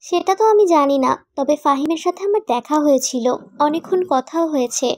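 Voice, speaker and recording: A woman playing a concerned, questioning young friend in an animated romantic drama. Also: high voice, a little fast, no echo, clean studio sound. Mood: earnest, reproachful.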